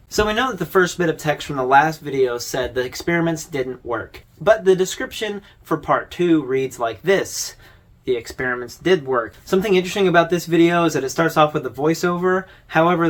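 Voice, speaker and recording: A man hosting a video essay, giving informal, conversational commentary as he explains things. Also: on the high side.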